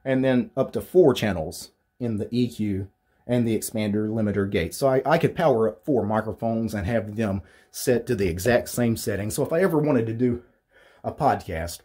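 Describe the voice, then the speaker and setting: A man in his thirties with a deep voice, casually walking through his home studio gear, rambling with fillers and restarts. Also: normal speed, dark tone, breathy edge. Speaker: tech YouTuber. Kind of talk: casual equipment walkthrough. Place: small home studio with acoustic foam panels.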